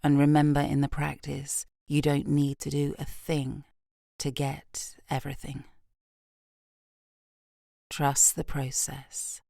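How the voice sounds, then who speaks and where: A British woman guiding a yoga nidra meditation in a soft, gentle, unhurried voice with long pauses, breathy at phrase ends. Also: low voice, monotone, no echo, studio quality. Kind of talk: guided meditation, slow and soothing.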